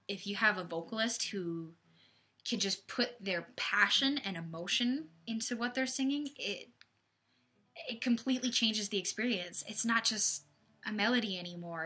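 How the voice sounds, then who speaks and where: A woman's voice: medium pitch, bright, forceful tone. A woman in her twenties chatting casually to viewers on a webcam livestream from home, rambling with lots of fillers and restarts. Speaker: livestream host. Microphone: webcam microphone.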